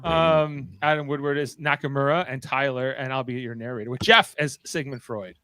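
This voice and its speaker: A man's voice: mid-range voice, fairly even intonation, normal speed, pushed and bright, no echo. A man hosting and narrating a live amateur radio play in an informal, playful way. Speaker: host and narrator.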